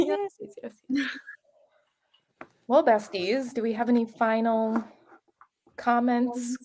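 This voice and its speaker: A woman's voice: on the high side, takes it slowly, a little mellow, slight background noise. A woman co-hosting a book discussion live stream, chatting casually with a giggly, playful manner. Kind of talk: casual chat.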